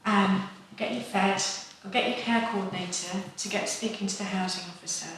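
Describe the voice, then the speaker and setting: Female actor for calm psychiatrist in a training drama: practical, reassuring, mid-pitched, heard off-mic in a boomy room. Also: normal speed, natural voice. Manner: reassuring, plain-spoken. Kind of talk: acted clinical consultation. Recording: echoey room, distant mic, faint noise.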